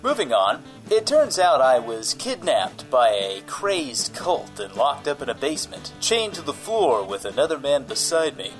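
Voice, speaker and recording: Pompous, animated undead anchorman played by a man. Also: medium pitch, poor audio. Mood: smug. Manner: pompous, theatrical. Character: vain, gleefully monstrous undead male news anchor. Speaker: radio news anchor giving traffic and weather. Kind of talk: comedic radio-play monologue.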